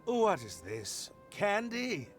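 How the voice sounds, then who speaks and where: High, pompous, flustered nobleman. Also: huge pitch swings, slow, over music, exaggerated voice. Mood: puzzled, mollified. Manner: haughty, formal, flustered stammering. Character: pompous, cowardly aristocrat man, proud and helpless. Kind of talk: video game voice acting.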